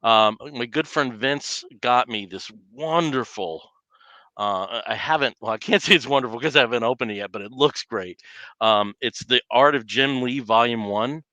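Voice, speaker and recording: A man's voice: medium pitch, moderate pace, bright, forceful tone, no echo. A middle-aged man hosting a podcast in casual chat, rambling with lots of fillers. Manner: chatty, rambling with many fillers. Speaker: podcast host.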